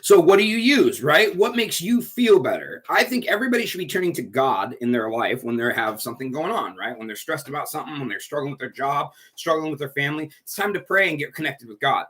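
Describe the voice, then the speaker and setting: A man's voice: on the high side, sing-song, quick pace, hardly any pauses, fairly bright tone. A man with a stuffy, congested nose, hosting a chatty livestream talk at home as leader of a recovery group. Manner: chatty, rambling, upbeat. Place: at home indoors.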